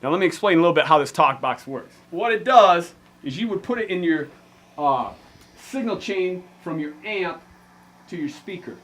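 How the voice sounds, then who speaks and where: A man's voice: sits high, lively intonation, normal speed, a bit bright. An American man giving an informal gear demonstration as a musician vlogger, with a slangy, laid-back way of talking. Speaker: musician presenting a band's vlog.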